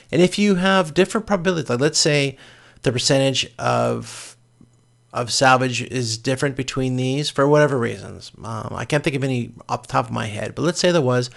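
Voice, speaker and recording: Guy teaching a class thru a spreadsheet, really gravelly fry, plain informal step by step explaining. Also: medium pitch, brisk. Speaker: college course instructor. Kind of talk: informal spreadsheet walkthrough lecture.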